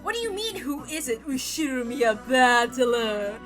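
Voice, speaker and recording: A woman voicing a gleeful, mocking witch who cackles and taunts with theatrical, drawn-out delivery in a visual novel reading. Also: high voice, very animated, over music.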